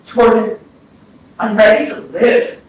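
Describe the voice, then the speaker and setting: Heavy echo surrounds a high, earnest voice: a man acting a grieving brother on stage. He declaims poetic lines weighed with guilt, far from the mic over faint noise. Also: flat delivery, takes it slowly. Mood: resolving. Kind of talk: staged play reading, dramatic monologue. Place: small arts-centre stage, distant mic. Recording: very echoey stage, distant mic, noisy.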